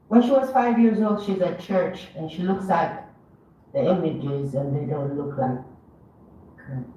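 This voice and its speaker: Slow mellow-voiced speaker from ethiopia giving a formal speech, sounds far off in a big echoey hall, kinda dull audio. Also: mid-range voice. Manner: earnest, measured, with rising emphasis on key words. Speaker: event speaker.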